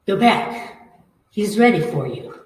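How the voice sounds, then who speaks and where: A woman playing a menacing, bloodthirsty old female vampire in old-time radio horror style, with commanding, drawn-out phrasing. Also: medium pitch, expressive, darkish tone. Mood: urgent, conspiratorial. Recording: echoey hall, far from the mic.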